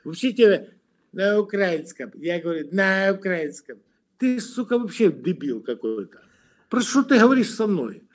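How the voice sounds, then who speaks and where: A man's voice: high voice, animated, normal speed. A middle-aged man arguing over a video call from his room at home, aggressive and mocking, swearing and throwing insults. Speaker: video chat stranger. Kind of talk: heated argument. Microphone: webcam on a video call.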